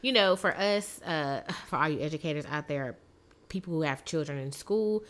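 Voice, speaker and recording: Raspy woman with a cold, chatting. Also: low voice, moderate pace. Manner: chatty, animated storytelling with many fillers. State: hoarse from a cold. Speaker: podcast co-host; teacher. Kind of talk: casual chat.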